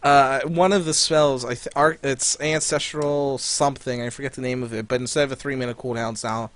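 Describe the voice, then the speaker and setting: A man's voice: medium pitch, fairly even intonation, fairly quick, fairly bright tone, clean tone. A man co-hosting a podcast over group voice chat, talking casually and joking around. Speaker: podcast co-host. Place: voice chat for a live online show.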